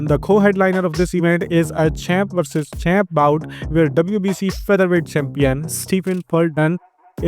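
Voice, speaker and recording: A man with an Indian accent narrates sports news as a channel host, reading in a halting way with frequent filled pauses. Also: a little high, darkish tone. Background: music behind the voice.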